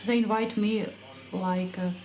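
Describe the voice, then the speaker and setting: A woman doctor giving a documentary interview, speaking slowly and haltingly in a second language. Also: mid-range voice, steady tone, a little mellow. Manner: slow, halting, searching for words in a second language. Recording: noisy, roomy old documentary film sound.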